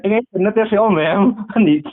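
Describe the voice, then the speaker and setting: A man with a fairly high voice chats in a casual, conversational style. He is heard through a telephone, so the sound is thin and narrow-band. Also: brisk. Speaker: phone caller. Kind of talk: casual phone chat. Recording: tinny narrow phone line.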